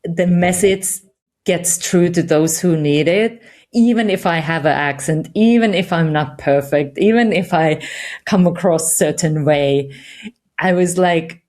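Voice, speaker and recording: A woman's voice: lowish voice, a little slow, a little mellow. A woman with a Finnish accent, a meditation guide speaking candidly and reflectively in an interview. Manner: reflective, candid, frequent light laughs. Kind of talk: conversational interview.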